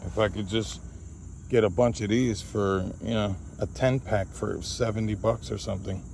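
A man with a fairly low voice talks casually and loosely, like a hobbyist vlogger out in a field. There is a faint layer of outdoor noise with insects behind him. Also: fairly even intonation, normal speed, creaky, a little mellow. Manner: rambling, talks to his dog. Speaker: vlogger testing handheld radios. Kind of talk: casual chat with radio test calls. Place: outdoors in a grassy field by woods.

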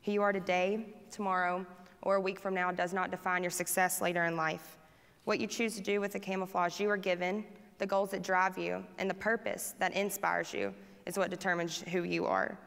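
A woman's voice: lowish voice, little pitch movement, brisk, creaky, fairly bright tone, smooth, in a large hall. A woman on stage reading a prepared speech in an earnest, declarative way. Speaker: student panel speaker. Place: stage in a large hall.

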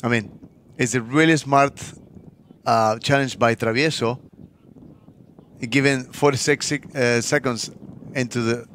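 Man, polo co-commentator, mid pitch, short choppy replies, faint background noise. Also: steady tone, unhurried, long pauses, a bit bright. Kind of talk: live sports commentary. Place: broadcast studio.

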